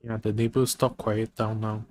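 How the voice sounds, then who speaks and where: A man giving casual live game commentary as a streamer, halting and often rephrasing himself. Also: fairly low pitch, level tone, a little mellow. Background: game music.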